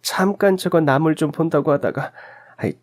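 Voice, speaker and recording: A man voicing a flustered elderly mother-in-law, sighing and making halting, defensive excuses in a radio drama. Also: fairly high pitch, voice pushed up, natural voice. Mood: flustered, guilty. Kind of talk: Korean story radio drama acting.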